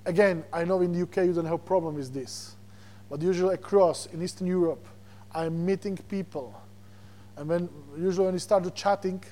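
A man from Slovakia with a Slovak accent, preaching a sermon as a guest preacher, animated and repeating words as he searches for them. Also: sits high, brisk. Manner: animated, repeats words when searching, chuckles between points.

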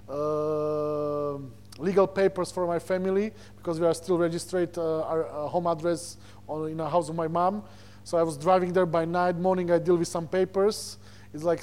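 A man's voice: high-pitched, darkish tone. A man from Slovakia with a Slovak accent preaches informally through personal stories, searching for words in his second language. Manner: halting, searching for words in non-native English. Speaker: visiting preacher.

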